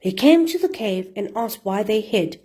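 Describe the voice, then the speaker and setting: A woman telling rhyming fairy tales to children as an expressive storyteller and host. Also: medium pitch, moderate pace, slightly rough.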